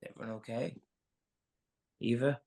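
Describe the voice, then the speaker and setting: A man's voice: mid-range voice, steady tone, normal speed, creaky, a little mellow, lightly acted. An actor playing an urgent man who leads a group out of danger in a thriller table read. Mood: concerned. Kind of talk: live dramatic table read.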